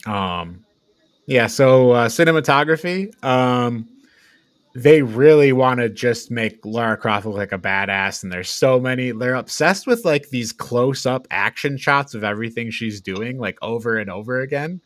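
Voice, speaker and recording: A man's voice: mid-range voice, animated, measured pace, no room sound. Man, mid-range voice, casual review chat, opinionated, exasperated, dry recording. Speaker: podcast co-host reviewing films.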